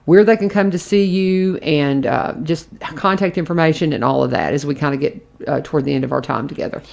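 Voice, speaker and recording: A chatty woman hosts a radio talk segment. Her voice sits a little low for a woman, and she rambles cheerfully, restarting her sentences as she goes. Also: brisk, creaky. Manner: chatty, warm-hearted rambling with many restarts. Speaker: radio show host. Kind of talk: casual radio interview chat.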